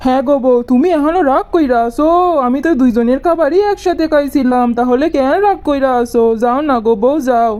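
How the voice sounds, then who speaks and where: Casting: woman as henpecked comic husband, high and mellow, Bangladeshi accent, forever coaxing and grumbling. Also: a little fast, close to an everyday voice. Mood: pleading. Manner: coaxing, complaining. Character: harried, pleading husband of two quarrelling wives. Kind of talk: comic cartoon dialogue.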